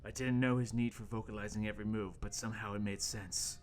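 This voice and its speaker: A man's voice: lowish voice, steady tone, fast, heavy background noise, lightly acted. A man playing an earnest young intern, narrating an amateur radio play with overwrought seriousness. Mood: dramatic narration. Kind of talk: amateur radio-play table read, first-person narration.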